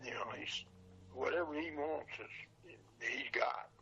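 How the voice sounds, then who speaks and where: An older man with a husky, gravelly voice, chatting casually as an interview guest. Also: on the high side, slow, bright, forceful tone, highs cut off, slight background noise. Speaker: podcast guest, old radio show collector. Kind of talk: casual reminiscing chat.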